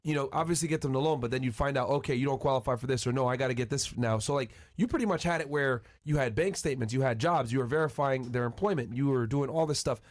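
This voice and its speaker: Fast-talking man hosting a casual podcast. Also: mid-range voice. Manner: reactive, checks and recaps the story. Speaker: podcast host interviewing a guest. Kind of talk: casual interview chat.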